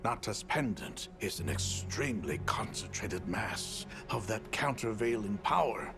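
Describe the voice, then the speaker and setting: A man's voice: fairly low pitch, big pitch swings, a little slow, vocal fry, heavily acted. An actor playing a solemn, fatherly keeper of ancient knowledge in a video game, speaking in a grave, formal manner. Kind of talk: video game cutscene acting. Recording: music behind the voice.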